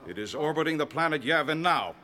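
A man playing a commanding rebel general in a radio drama, briefing pilots in a formal, deliberate, emphatic way. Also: fairly high pitch, expressive, pushed and bright. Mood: urgent, rousing.

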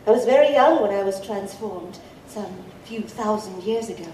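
A woman playing an ancient, youthful-looking vampire wise woman in an audio drama, playfully sly, with formal, teasing, measured speech. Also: medium pitch, dark tone, close to an everyday voice. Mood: amused. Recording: roomy, distant, faint background noise.

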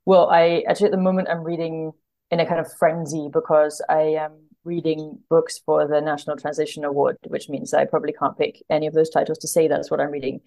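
A woman's voice: deep voice, level tone, fast talker, creaky, mellow, rough recording. A woman chatting as an interview guest about books, thoughtful and tentative, often correcting herself as she goes. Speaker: podcast guest, literary translator.

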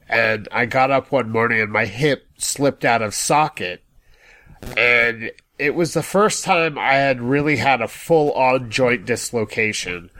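A man in his late thirties giving a measured personal account on a podcast, in deliberate, orderly phrases. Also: mid-range voice, a bit bright. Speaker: podcast guest sharing personal experience.

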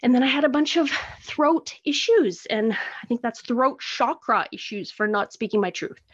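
A woman's voice: on the high side, lively intonation, moderate pace, slightly rough, muffled recording. A Canadian woman hosting her own podcast, rambling in a chatty, self-deprecating way. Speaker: podcast host. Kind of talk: casual solo podcast monologue. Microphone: phone recording.

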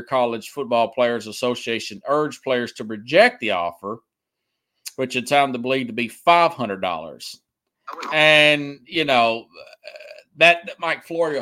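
A man's voice: mid-range voice, sharp tone. A man hosting a sports podcast solo, talking casually and hesitantly as he works through notes and comments on the news in a rambling way. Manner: rambling, hesitant, searching through notes, repeats himself for emphasis.

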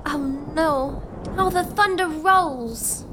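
An actress playing an excitable, melodramatic young woman in a gothic comedy audio drama. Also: very high voice, slow pace, noisy background. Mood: anxious curiosity.

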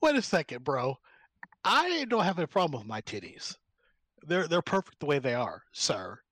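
Lively, playful podcast co-host. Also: male voice, fairly high pitch, normal speed, dry recording. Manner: laughs easily, playful and ranting. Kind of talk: casual comedic podcast chat.

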